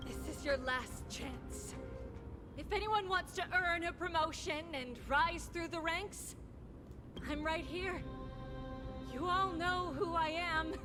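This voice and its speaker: A bold fantasy game maiden, imperious and challenging. She speaks high and deliberately slow over music. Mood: commanding. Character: bold, commanding holy maiden of a fantasy city. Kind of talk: video game voice acting.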